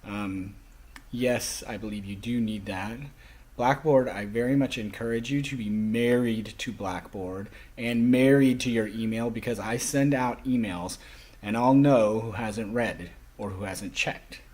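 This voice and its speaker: A man voicing a classroom lecture as an interpreter, speaking emphatically in halting phrases with stressed key words. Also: on the low side, darkish tone.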